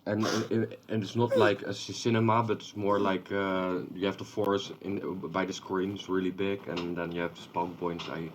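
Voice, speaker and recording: Dutch guy with a really low voice, hesitant and full of uhs, a bit of faint hiss behind. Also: level tone. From the Netherlands. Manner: halting, with many fillers and restarts. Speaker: guest and new world creator. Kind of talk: casual live-stream chat.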